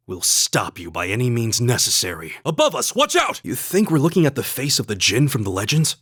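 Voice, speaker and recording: A man voicing a calm, courteous and earnest young male student fighter in a video game, speaking in a measured, polite way. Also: mid-range voice, dry recording, studio quality. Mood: stern, resolute, then urgent, alert, then thoughtful, polite. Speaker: video game character voice actor.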